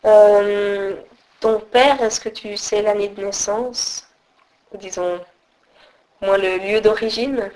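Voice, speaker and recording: A woman asks short, prompting questions in an interview. Her pitch stays flat and even, at a middle height. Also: slow pace, poor audio. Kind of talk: oral history interview.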